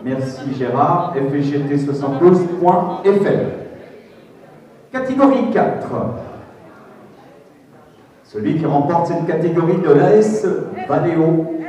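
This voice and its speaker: A man's voice: a little high, lively intonation, slow pace, long pauses, dark tone. A man announcing podium results live at an amateur sports prize-giving, calling out names and asking for applause. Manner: calls out names and asks for applause. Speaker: master of ceremonies at an amateur cycling podium. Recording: very echoey hall, far off, crowd noise. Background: crowd.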